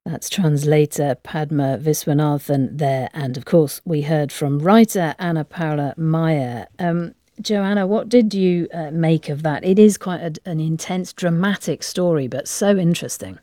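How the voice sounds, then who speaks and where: A woman presenting radio news and interviewing guests in a measured broadcaster's delivery. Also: sits low, warm tone, dry recording, clean studio sound.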